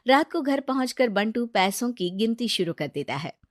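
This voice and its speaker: A woman narrating a scripted moral story in an even storytelling manner. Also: on the high side, moderate pace, no echo, studio quality.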